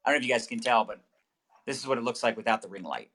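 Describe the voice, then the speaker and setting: Bright-voiced, upbeat chatty man. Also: medium pitch, expressive, very fast. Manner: upbeat, chatty coaching with many fillers. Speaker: network marketing trainer, guest. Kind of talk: casual livestream interview.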